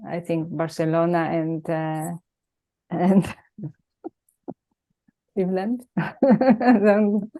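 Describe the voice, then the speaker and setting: A woman with a mixed Venezuelan Spanish accent, Italian by first language, hosting a reflective conversation over a video call and searching gently for her words. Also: on the low side, fairly even intonation, very slow, long pauses. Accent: Spanish, Venezuelan mix. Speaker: host. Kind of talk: unscripted heartfelt conversation.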